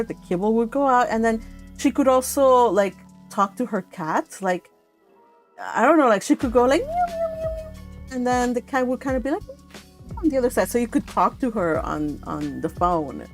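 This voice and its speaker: A woman hosting a read-along stream, chatting casually and rambling with frequent laughter. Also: fairly high pitch. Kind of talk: casual chat with participants.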